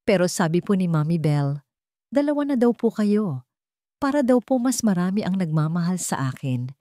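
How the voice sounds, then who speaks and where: A woman's voice: on the low side, big pitch swings, moderate pace, mellow, no echo, studio quality. A woman voicing a small, innocent, polite child in a story. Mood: innocent, matter-of-fact.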